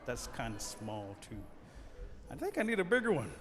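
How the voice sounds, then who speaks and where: A man's voice: mid-range voice, huge pitch swings, moderate pace. A man voicing a playful, sly friend in an animal folktale, casual and coaxing. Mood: unimpressed, coaxing. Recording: long hall reverb, some noise.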